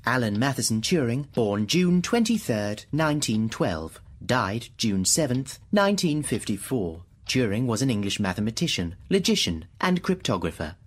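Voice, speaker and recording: A man's voice: medium pitch, big pitch swings, normal speed, few pauses, slightly rough. A man playing a formal, unemotional talking computer in a radio drama, speaking in precise, literal statements. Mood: formal, matter-of-fact. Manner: precise, clipped, literal delivery of data.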